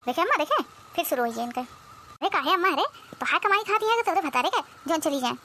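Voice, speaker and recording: A woman playing a shrill, sharp, sulky village sister-in-law. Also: sing-song, quick pace, slight background noise, full character voice. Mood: quarrelsome. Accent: Awadhi. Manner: whiny, quarrelsome, rustic. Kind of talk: Awadhi comedy cartoon dubbing.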